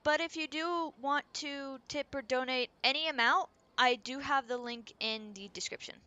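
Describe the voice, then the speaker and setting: A woman's voice: high voice, normal speed, sharp tone, lo-fi sound. A woman streamer giving casual, chatty, informal commentary while she plays a game. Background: game music.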